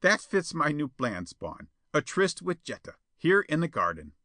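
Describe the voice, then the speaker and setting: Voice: medium pitch, very animated, unhurried, bright, forceful tone, no room sound, clean studio sound, voice pushed up. A man voicing a crafty, accusing male villain in a storybook, sly, needling and insistent. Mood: sly, scheming.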